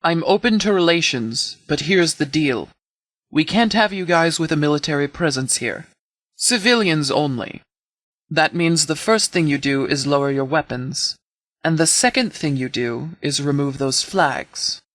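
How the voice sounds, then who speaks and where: A man voicing a stern, authoritative sheriff in a video game, speaking in a measured, commanding, formal way. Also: on the high side, fairly bright tone, no echo. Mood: firm, formal. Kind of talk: scripted game dialogue.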